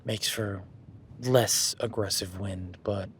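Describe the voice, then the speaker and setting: A man's voice: on the low side, fairly even intonation, slow pace, a little hiss or hum. A man playing an easygoing, seasoned cowboy rancher in an audio roleplay, talking to the listener in a folksy, plainspoken way.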